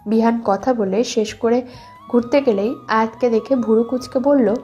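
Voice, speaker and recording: A woman's voice: fairly high pitch, steady tone, moderate pace, warm tone, dull audio. A young woman reading scripted narration for a dramatized love story. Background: background music.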